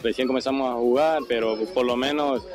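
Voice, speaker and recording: A man in his thirties reflects in a post-match interview. His voice is mid-pitched, with music clearly heard behind it. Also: fairly even intonation, fairly quick. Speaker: runner-up football player.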